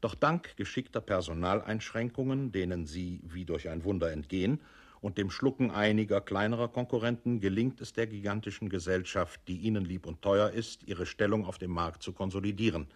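An actor playing a methodical, formal narrator who recites bureaucratic instructions in a radio play. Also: male voice, low-pitched, normal speed. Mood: matter-of-fact.

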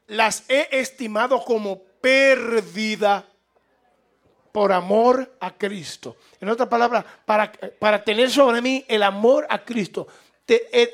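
A man preaching a fervent sermon as a radio preacher. He stresses points by repeating them and calls on listeners to respond. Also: high voice. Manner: fervent and emphatic, with repetition and rising exhortation. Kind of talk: evangelical sermon.

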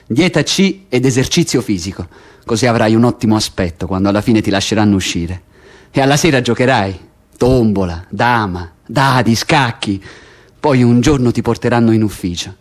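A man's voice: medium pitch, close to an everyday voice. A man acting in Italian radio theatre as a prisoner who insistently and bitterly taunts his cellmate, piling up detail step by step. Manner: insistent, building lists step by step. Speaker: stage actor in a radio play.

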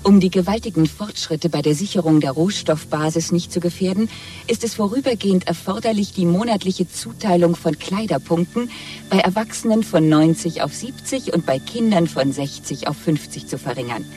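An actor playing a formal state propaganda announcer proclaiming triumphant bulletins in a radio drama. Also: male voice, high-pitched, normal speed, close to an everyday voice. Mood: official. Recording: distant public loudspeaker, music behind.

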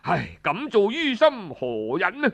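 A principled crown prince as a male storyteller plays him protests earnestly in Cantonese. The high male voice moves slowly and carries compassion, in the manner of a historical audiobook. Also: wildly swooping pitch, creaky, a bit bright, full character voice. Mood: earnest, torn.

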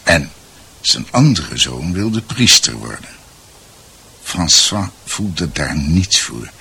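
A reflective storyteller in a radio play, very deep, slow and raspy. He narrates conversationally, pausing to find his words. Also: big pitch swings, sharp tone, heavily acted. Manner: deliberate, searching for words. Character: thoughtful male storyteller recounting family history.

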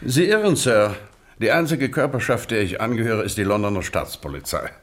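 An actor playing a polite, formal, sceptical English police gentleman in a German radio drama. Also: fairly low pitch, animated, a little fast. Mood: polite, puzzled.

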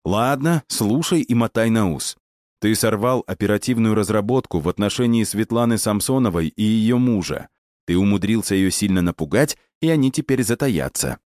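A man's voice: on the low side, expressive, moderate pace, darkish tone, no room sound, studio quality, close to an everyday voice. A man voicing a stern male operative in an audiobook, lecturing with pointed questions and curt orders. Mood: stern, reproachful.

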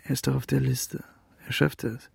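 Radio drama: disillusioned young heir, very gravelly, flat delivery, terse, stubborn, resigned. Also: male voice, mid-range voice, normal speed, no echo, heavily acted. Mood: resigned, frustrated. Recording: music clearly behind the voice. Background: music.

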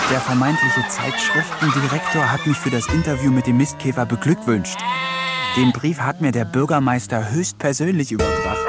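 A man playing a lonely, sardonic village eccentric who reads his diary in a dry radio-play narration with mocking asides. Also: a little high. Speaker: narrator and lead.

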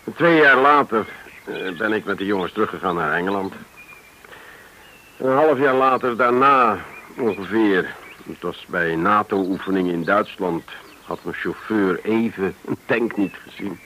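An actor playing a wry, sharp retired army officer, dry and formally polite in a radio play. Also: male voice, fairly low pitch, unhurried. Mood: guarded, recalling. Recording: noisy old radio play recording.

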